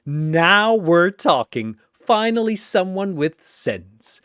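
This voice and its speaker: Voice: mid-range voice, sing-song, slow, a bit bright, clean tone, dry recording, clean studio sound, pitched above the performer's own voice. A narrator voicing a manic, gleeful triangle demon with a mocking, theatrical delivery in an audio story. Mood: gleeful, excited.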